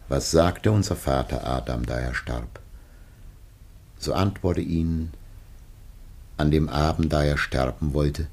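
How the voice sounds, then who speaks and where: A man's voice: very deep voice, a little slow, pauses often, a little mellow, full character voice. An actor playing a weary, dying old father in a classical radio play, solemn, grave and lamenting in his delivery. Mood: solemn anguish.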